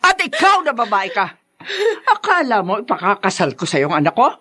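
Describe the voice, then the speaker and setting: An actress in a radio drama playing a cruel, haughty middle-aged matriarch, scornful and domineering in a melodramatic style. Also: female voice, medium pitch, wildly swooping pitch, brisk, sharp tone, exaggerated voice. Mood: contemptuous, angry.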